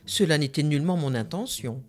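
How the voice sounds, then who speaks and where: A woman's voice: sits low, expressive, brisk, darkish tone, faint music behind. A woman voicing a pushy, scheming queen mother who talks in a bossy, theatrical way in a cartoon.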